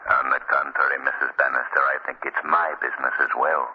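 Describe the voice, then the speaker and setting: An actor playing a mysterious invisible crime-fighter in a 1940s radio drama, menacing and commanding. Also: male voice, very deep voice, flat delivery, a little fast, a little hiss or hum. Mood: sinister, knowing. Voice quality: menacing, husky, with a sinister chuckle. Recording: muffled old 1940s radio recording.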